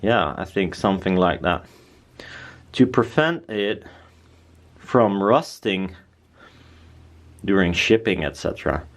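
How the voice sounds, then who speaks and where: A man's voice: deep voice, expressive, takes it slowly, pauses often. A man talking casually and bluntly as he presents his own DIY build, rambling informally. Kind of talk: casual workshop chat.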